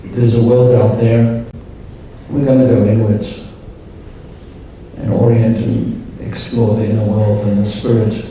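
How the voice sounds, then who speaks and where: A man speaking at a conference, leading a guided meditation in a calm, slow, measured way. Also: lowish voice, a little hiss or hum. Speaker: meditation leader. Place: large conference hall. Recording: echoey hall, distant mic.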